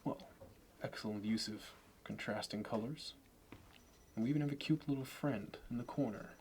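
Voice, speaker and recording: A man playing a polished, courteous and meticulous finance executive in an ASMR roleplay, speaking in a measured, halting and formal way. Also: mid-range voice, darkish tone, slight background noise, lightly acted. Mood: meticulously appraising. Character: polished, courteous, obsessively appraising male Manhattan finance executive.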